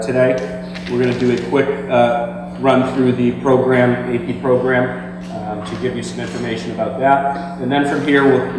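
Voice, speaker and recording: A man hosting a school awards breakfast, giving hesitant opening remarks full of fillers. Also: medium pitch. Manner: hesitant, many fillers, flat delivery. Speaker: event host, school staff. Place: school auditorium. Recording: distant mic in an echoey hall with music.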